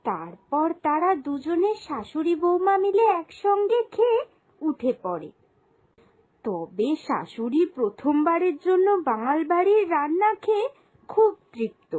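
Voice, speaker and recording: A woman's voice: high-pitched, sing-song, a little slow, a little mellow. A woman narrating a scripted moral cartoon story as a storyteller.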